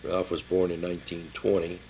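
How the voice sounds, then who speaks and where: An elderly American man in his seventies or older reminiscing informally about his life, halting and plain-spoken as he searches his memory. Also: low-pitched, monotone, dark tone, a little hiss or hum, rough recording. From Pittsburgh area, Pennsylvania, United States. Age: 70s or older. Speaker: family member recording his life story for a relative.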